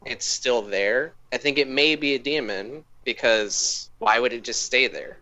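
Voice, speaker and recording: Man, bright sharp tone, mid pitch, casual slangy co-host, irreverent, very dry room. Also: a little slow, no echo. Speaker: podcast co-host. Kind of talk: casual chat.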